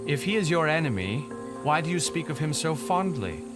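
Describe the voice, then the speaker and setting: A man's voice: a little high, sing-song, moderate pace, over loud music. A man playing a stuffy, stubborn banker turned pirate captain in an audio drama, speaking formally and earnestly. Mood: earnest, defensive.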